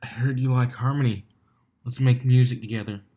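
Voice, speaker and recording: An awkward young cartoon stallion, read by a man, full of self-doubt. He mutters haltingly, as if rehearsing to himself. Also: mid-range voice, steady tone, dark tone, lightly acted.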